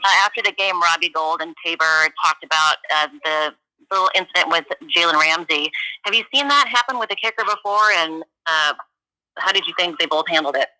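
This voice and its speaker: A fast-talking sports reporter with a very bright, piercing voice. The questions come in a brisk stream over a tinny telephone conference line. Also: medium pitch. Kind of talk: quick press call questions.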